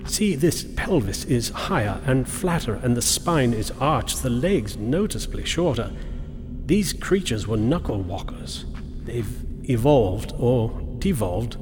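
An actor playing an analytical, grimly fascinated expedition doctor in a radio drama, speaking in a formal, precise, lecturing way. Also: male voice, mid-range voice, very animated, takes it slowly. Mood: fascinated, clinical. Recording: cavernous reverb, music underneath.

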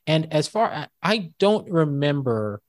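A man with a fairly high voice, co-hosting a casual talk show and thinking aloud with frequent restarts and fillers. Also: very animated, unhurried, dry recording, studio quality. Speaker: co-host and former college professor. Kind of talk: casual podcast debate.

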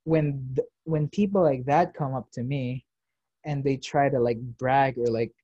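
A man's voice: medium pitch, measured pace, warm tone, no echo, highs cut off. A young man chatting casually as a podcast host, rambling with lots of fillers and the odd swear word. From United States. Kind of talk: casual chat.

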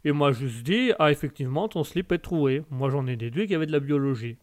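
A man's voice: on the high side, a little fast, lightly acted. A man putting on a drawling Quebec-accented Canadian guy. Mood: earnest. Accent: Quebec French, put on. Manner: drawling, tags sentences with "là", frequent oaths. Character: folksy Canadian man, self-taught gardener, cheerfully swearing. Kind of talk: comic improvised sketch.

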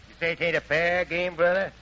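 An actor playing an officious, crooked, blustering sheriff with a stern folksy manner in a 1940s radio drama. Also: male voice, a little high, flat delivery, brisk, sharp tone, rough recording.

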